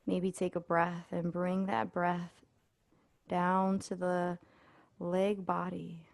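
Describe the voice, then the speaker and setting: A woman leading a guided body scan meditation as an instructor, speaking in a soothing, unhurried way with pauses. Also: lowish voice, little pitch movement, creaky, dry recording.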